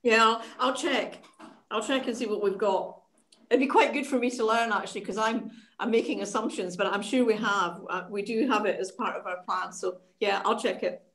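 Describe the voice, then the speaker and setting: A woman's voice: mid-range voice, steady tone, moderate pace, fairly bright tone, rough recording. A woman on a video call, offering help and repeating words as she starts sentences. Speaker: workshop participant.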